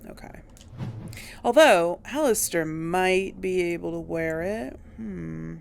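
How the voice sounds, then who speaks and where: A woman gives casual game commentary at an unhurried pace, musing to herself as she goes. Her voice has a frequent creaky edge, and there is some noise behind her. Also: on the low side. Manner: thinking aloud, musing to herself. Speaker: host of a game playthrough series.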